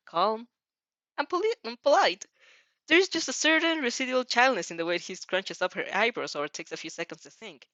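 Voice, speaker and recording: A woman's voice: mid-range voice, bright, forceful tone, no echo, lo-fi sound. A woman narrating fan fiction expressively as an amateur reader, sometimes stumbling over words.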